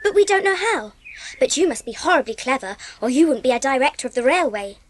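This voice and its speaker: A polite, eager English girl in a children's story drama. Her voice is very high and lively, frank and earnest in a childlike way. There is slight noise in the recording. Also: quick pace. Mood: earnest, pleading. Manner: earnest, well-mannered, childlike.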